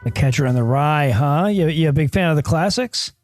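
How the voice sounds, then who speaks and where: Man playing a high-voiced, deadpan bookstore clerk. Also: brisk, faint music behind, close to an everyday voice. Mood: knowing, deadpan. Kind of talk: comedy sketch dialogue.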